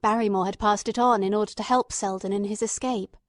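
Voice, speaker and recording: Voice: mid-range voice, fairly even intonation, brisk, dry recording, studio quality, natural voice. A performer playing an earnest, emotional male companion who narrates a radio mystery in a literary, fervent manner. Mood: somber narration. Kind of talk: dramatic scripted narration, old-time radio mystery.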